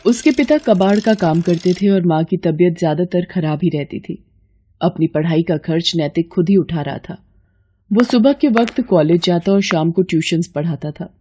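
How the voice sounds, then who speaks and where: A woman's voice: lowish voice, warm tone, husky. A woman narrating a scripted moral story as its storyteller.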